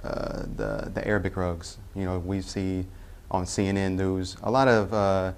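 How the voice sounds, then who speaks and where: A young man from Tennessee speaks with a very low voice. He tells his personal story unscripted, pausing often and correcting himself mid-sentence. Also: little pitch movement, a little hiss or hum. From Tennessee, US Southern. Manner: halting, self-correcting with many "uh" pauses. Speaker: convert telling his story. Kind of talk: personal testimony.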